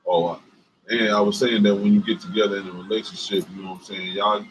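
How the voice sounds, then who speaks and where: A man with a deep voice and a Southern US accent, co-hosting a couples livestream and talking bluntly with lots of swearing and fillers. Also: little pitch movement, quick pace, a little hiss or hum, rough recording. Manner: profane and emphatic, many fillers. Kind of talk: casual livestream chat.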